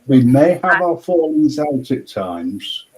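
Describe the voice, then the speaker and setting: A man's voice: fairly high pitch, very animated, takes it slowly, low-quality recording. A man hosting a casual live stream panel, talking in a rambling, stop-start way and chuckling often.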